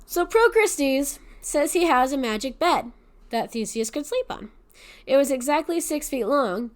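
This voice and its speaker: A woman hosting a podcast, casually retelling a Greek myth with profanity and sarcastic asides. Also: high voice. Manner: swears freely, laughs, adds sarcastic asides. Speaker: podcast host.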